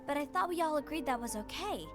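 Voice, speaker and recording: A woman voicing a gentle, earnest, peace-seeking young woman in a game cutscene, speaking politely. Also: high voice, lively intonation, fast talker. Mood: worried. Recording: game cutscene with loud music underneath.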